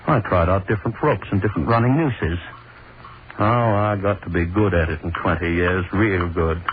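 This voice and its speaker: A man's voice: low voice, moderate pace, close to an everyday voice. An actor playing a sadistic, haunted middle-aged hangman in a brooding, obsessive 1930s radio horror monologue. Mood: brooding, gloating. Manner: brooding, obsessive, repeats words. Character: gloating, sadistic, haunted old-time hangman. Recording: muffled, noisy 1930s radio recording.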